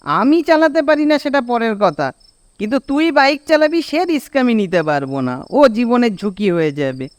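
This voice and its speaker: A man's voice: very high-pitched, very animated, moderate pace, clean tone, no room sound. A man with a Bengali accent voicing a bossy, scolding young village friend in a cartoon, with a nagging, exasperated manner. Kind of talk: animated cartoon dialogue.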